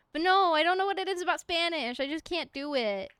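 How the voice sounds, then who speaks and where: A woman's voice: very high-pitched, fairly bright tone, no echo, studio quality. A young woman streaming a game from home, chatting casually in a rambling, giggly way and talking to objects in the game. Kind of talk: casual chat while gaming.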